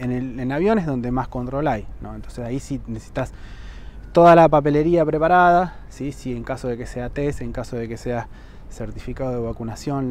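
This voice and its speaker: A man in his thirties with a Rioplatense Spanish accent, vlogging outdoors on a lapel mic. He explains travel rules to the camera and keeps checking in with "¿sí?". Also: mid-range voice. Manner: checks in with frequent "¿sí?" tags, lively emphasis. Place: outdoors on a lawn by buildings, sunny.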